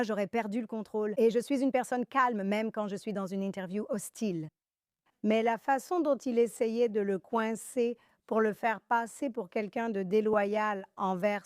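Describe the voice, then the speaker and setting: Sarcastic, animated woman host. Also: a little high, smooth, no room sound, studio quality. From Iran. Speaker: show host. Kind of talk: panel talk show discussion.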